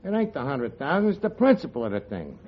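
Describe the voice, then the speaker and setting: A man's voice: medium pitch, huge pitch swings, quick pace. An actor playing a cocky, scheming male tavern manager who talks in street slang and mangled grammar, in 1940s radio sitcom style. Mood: indignant. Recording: 1940s radio broadcast, dull audio, studio audience laughter and hiss. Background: studio audience laughter.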